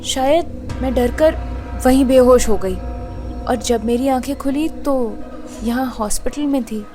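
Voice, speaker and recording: A woman acting a chatty, joking, easily flustered young woman in an audio drama, informal and expressive. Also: sits high, slow, soft background music. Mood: shaken.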